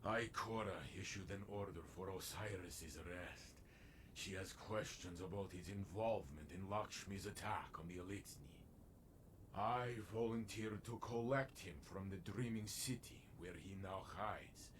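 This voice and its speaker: An actor playing a noble, anguished veteran warrior in a video game, protective and stubborn, speaking in formal, emphatic phrases. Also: male voice, deep voice, measured pace, fairly bright tone, a little hiss or hum. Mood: grave, troubled. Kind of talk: dramatic video game voice acting.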